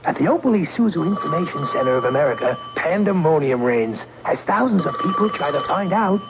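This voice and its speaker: Animated, higher-pitched male ad announcer. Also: a little fast. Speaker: commercial announcer. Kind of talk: upbeat scripted radio ad. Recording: dull, faintly hissy radio broadcast.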